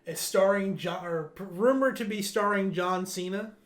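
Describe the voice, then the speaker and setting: A man's voice: high voice, normal speed. A man hosting a casual panel chat, running through news items in an informal way. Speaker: show host. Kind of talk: casual news roundup chat among friends.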